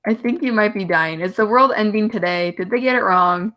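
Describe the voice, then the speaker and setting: A woman's voice: mid-range voice, fairly quick, pushed and bright. A young woman hosting a live stream, chatting casually and teasing her friend. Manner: teasing, joking, laughs often. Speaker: stream host. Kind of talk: casual chat.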